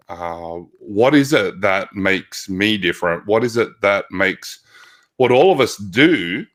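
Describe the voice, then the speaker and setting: A man with an Australian accent, a physical therapist telling stories as an interview guest, loud and reflective, often restarting his sentences. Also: lowish voice. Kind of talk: conversational interview.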